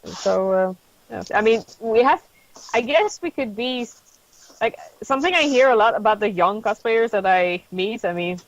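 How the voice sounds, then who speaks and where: A woman's voice: a little high, lively intonation, normal speed, no echo. A woman in her fifties, a guest on a casual panel chat, telling reflective anecdotes at an easy pace. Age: 50s. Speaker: podcast guest. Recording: dull lo-fi sound.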